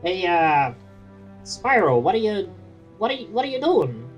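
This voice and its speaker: A woman's voice: medium pitch, very animated, a little slow, highs cut off. A woman voicing a cheeky male dragonfly who jokes and stammers nervously, over faint music. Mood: playful. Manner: stammering, joking, bouncy delivery.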